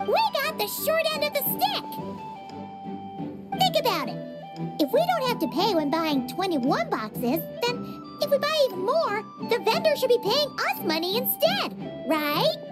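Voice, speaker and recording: A woman voicing a tiny excitable female floating companion in a video game, chirpy and quick to exclaim, with game music behind her. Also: very high-pitched, full character voice. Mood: excited. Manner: chirpy, quick to exclaim, talks about herself by name.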